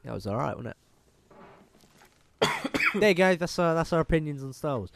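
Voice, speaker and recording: A man's voice: a little high, expressive, vocal fry, background noise. A man co-hosting a casual podcast, rambling and swearing, with a bunged-up nose. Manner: rambling, sweary, quick to laugh. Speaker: podcast co-host. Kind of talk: casual chat.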